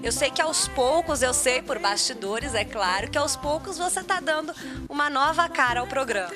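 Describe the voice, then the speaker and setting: High-voiced Brazilian woman interviewer with music. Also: normal speed. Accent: Brazilian Portuguese. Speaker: interviewer, television colleague of the guest. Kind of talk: casual interview.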